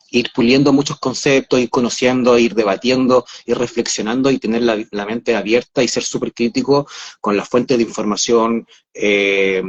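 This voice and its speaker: A man's voice: lowish voice, lo-fi sound. A Chilean man hosting a casual online livestream, talking with a lot of hesitation and fillers over a video call. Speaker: host.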